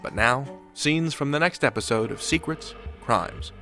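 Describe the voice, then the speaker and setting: A man's voice: mid-range voice, unhurried, sharp tone, faint music behind. A man hosting a podcast with scripted narration, wry and speaking directly to the listener. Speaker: podcast host.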